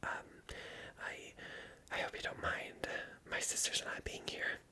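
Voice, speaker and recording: Storybook mermaid princess played by an ASMR reader: young, polite, hesitant, all in bright whisper. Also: a little slow. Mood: nervous. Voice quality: breathy whisper.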